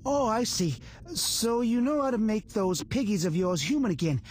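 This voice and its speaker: An actress playing a brisk, knowing woman ally in a video game. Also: mid-range voice, lively intonation, a little mellow, slight background noise, lightly acted. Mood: pleased.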